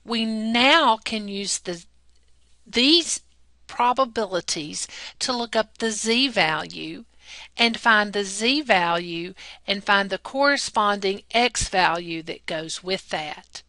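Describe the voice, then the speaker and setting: Patient female math tutor, slow and deliberate, bright tone, dry close voice-over. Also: medium pitch, expressive, no room sound. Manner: patient, step-by-step teacherly delivery. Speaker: math lab technician narrating a lesson. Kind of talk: slow, deliberate classroom explanation over slides.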